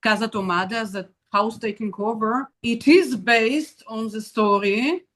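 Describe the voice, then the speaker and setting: A middle-aged woman composer whose first language is Russian, talking in an interview in English with many fillers and pauses while she searches for words. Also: fairly high pitch, a bit bright. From Azerbaijan. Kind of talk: conversational interview.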